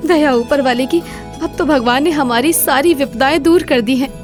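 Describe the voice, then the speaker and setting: A woman voicing a devout, meek young village wife in an animated Hindi moral story, pleading and dutiful. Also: high voice, moderate pace, music in the background, lightly acted. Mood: amazed.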